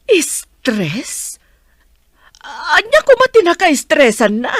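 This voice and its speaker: A demanding, anxious madam in a radio drama. Her voice is high and very animated. She questions sharply, like a mother used to being answered. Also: takes it slowly, dry recording, studio quality, heavily acted.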